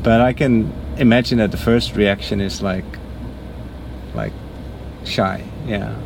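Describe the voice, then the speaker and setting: A man's voice: on the low side, steady tone, takes it slowly, vocal fry. Chatty man interviewing from inside a noisy car. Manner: chatty, curious, asks lots of questions. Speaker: rideshare driver and podcast host. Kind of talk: casual interview chat. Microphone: camera mounted in the car. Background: car and traffic noise.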